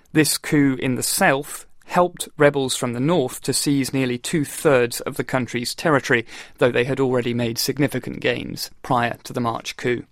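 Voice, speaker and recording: A man's voice: mid-range voice, no room sound, clean studio sound. A man reading scripted international news as a radio newsreader.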